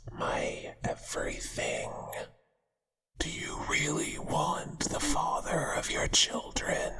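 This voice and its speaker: A performer voicing a possessive male spider monster, a devoted but menacing lover, in a hushed ASMR whisper with a crooning, deliberate delivery. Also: mid-range voice, slightly rough, heavily acted. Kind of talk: whispered ASMR roleplay acting. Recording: long reverberant echo.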